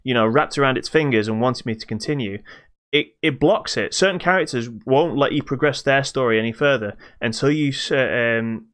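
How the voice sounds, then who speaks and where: A man co-hosting a podcast, reviewing games in casual chat with halting explanations that trail into dismissive asides. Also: medium pitch, fairly bright tone. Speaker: podcast co-host.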